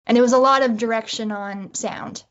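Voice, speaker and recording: A woman's voice: fairly high pitch, steady tone, moderate pace, a bit bright, dull audio. A woman speaking as a guest writer in a casual interview, thinking aloud in an informal way.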